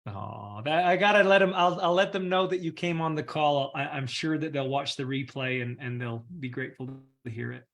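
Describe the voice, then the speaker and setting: A man's voice: on the high side, brisk, creaky, few pauses, fairly bright tone. A man hosting an online community call, talking in an enthusiastic, casual way with frequent fillers. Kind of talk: casual upbeat group call.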